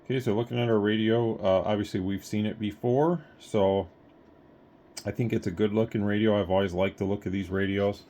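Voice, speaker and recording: A man's voice: fairly low pitch, a little mellow. A man giving a casual hands-on product review, rambling a little as he thinks aloud, recorded on a phone. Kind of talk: casual unboxing review, talking through thoughts. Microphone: phone, handheld. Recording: clear background noise, recorded on a phone.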